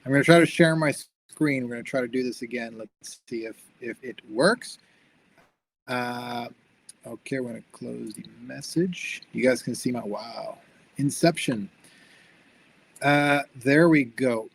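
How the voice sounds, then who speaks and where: Young man, video-call seminar speaker, friendly and upbeat, mid-pitched, frequent restarts. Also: measured pace, gravelly, poor audio. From born in Sweden, raised in the United States. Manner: friendly and upbeat, many restarts and repeated words. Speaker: guest speaker, company founder. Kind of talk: online seminar talk with slides.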